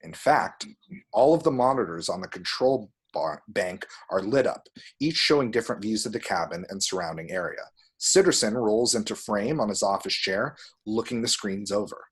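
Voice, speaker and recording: A man reading screenplay action descriptions aloud at a table read, with a husky voice. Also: on the low side, lively intonation, moderate pace.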